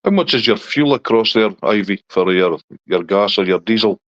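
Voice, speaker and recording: Chatty low-voiced Scottish man. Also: animated, moderate pace, dry recording, lo-fi sound. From Scotland. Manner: chatty, reads and answers viewer comments. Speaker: livestreamer chatting with viewers. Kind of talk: casual live stream chat.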